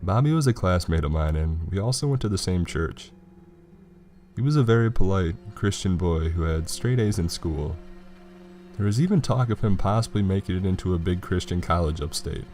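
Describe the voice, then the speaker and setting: A man's voice: deep voice, a little mellow. A man reading a scripted horror story as its narrator. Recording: music behind the voice. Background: background music.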